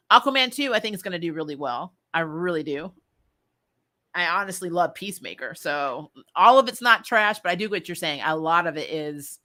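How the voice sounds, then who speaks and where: A woman's voice: medium pitch, sharp tone. A woman chatting casually as a livestream host, giving candid, informal fan opinions and often breaking into amusement. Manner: candid, informal, mild swearing; laughs often while talking.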